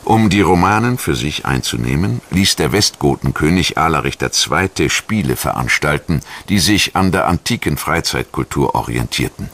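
A man reading scripted documentary narration in German in a measured, factual manner. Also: extremely low pitch, lively intonation, a bit bright. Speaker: documentary narrator and voice-over translator.

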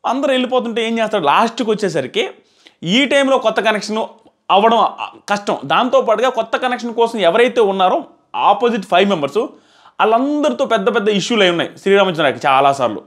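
A man's voice: high voice, lively intonation, fast, a bit bright. A man in his thirties giving a casual, emphatic spoken review to camera, repeating phrases for stress, on a lapel mic indoors. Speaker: reality show reviewer. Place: small plain room indoors. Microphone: clip-on lapel mic.

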